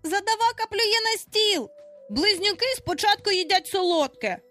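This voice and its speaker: A woman's voice: very high voice, lively intonation, normal speed, fairly bright tone, soft background music, pitched above the performer's own voice. A woman voicing squabbling small boys in a storybook, indignant and whiny, exclaiming insistently. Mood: indignant, whining.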